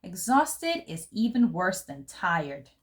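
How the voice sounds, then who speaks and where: Woman reading as a lively, fancy little girl. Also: on the low side, slow pace. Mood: exhausted, dramatic. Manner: expressive, theatrical, savoring fancy words. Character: fancy, dramatic little girl who loves glamour. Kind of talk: first-person storybook narration for children.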